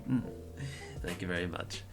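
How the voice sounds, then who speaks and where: A man's voice: sits low, normal speed, fairly bright tone, soft background music. A man hosting a casual livestream podcast, rambling and teasing as he introduces his friends. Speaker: podcast host.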